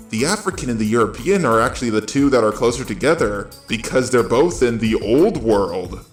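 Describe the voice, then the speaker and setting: A man's voice: mid-range voice, echoey room. A man giving conversational opinion commentary in a blunt manner with sardonic asides. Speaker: video commentator.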